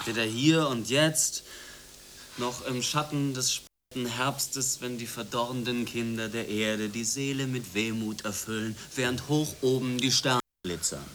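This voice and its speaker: An actor playing a sardonic, boastful drifter with a wry, domineering manner in a radio play. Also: male voice, mid-range voice, measured pace, fairly bright tone, natural voice. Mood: dismissive, mocking. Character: cocky, sardonic drifter and dealer, domineering toward women. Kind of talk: German radio play acting. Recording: noisy 1980s radio play recording.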